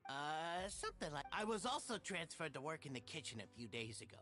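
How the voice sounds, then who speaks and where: A man's voice: a little high, very animated, fairly quick, sharp tone. A man voicing an easygoing, friendly, teasing prison inmate in a video game.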